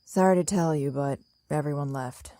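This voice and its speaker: Actress as low-voiced, guarded woman survivor. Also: moderate pace, warm tone, dry recording, natural voice. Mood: calm, assured. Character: Mia, calm, guarded, commanding young woman survivor. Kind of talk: audio drama acting.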